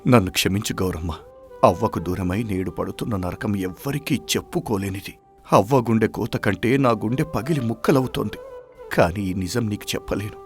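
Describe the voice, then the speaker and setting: A man's voice: on the low side, moderate pace, lightly acted. A man playing a sick, guilt-ridden village farmhand who hides his suffering in a dramatized moral story, anguished and heavy-hearted. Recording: music behind the voice.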